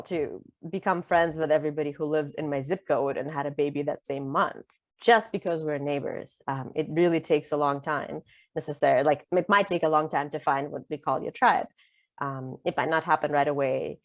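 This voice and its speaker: A woman's voice: sits low, dry recording, studio quality. A middle-aged woman whose first language is Russian, an author speaking casually in an interview with many fillers and easy laughter. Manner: chatty, many fillers and restarts, laughs easily. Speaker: author and guest. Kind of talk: conversational interview.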